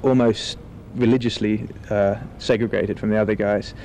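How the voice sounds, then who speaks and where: A man talking as a film director in a relaxed, wordy, articulate interview. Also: lowish voice, moderate pace, a little hiss or hum.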